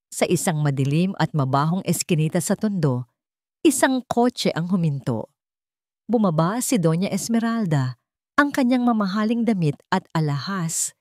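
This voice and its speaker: A woman tells a dramatic story as a scripted narration. Her voice sits fairly low, and the recording is dry and close, with little room sound. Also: a little mellow, dry recording.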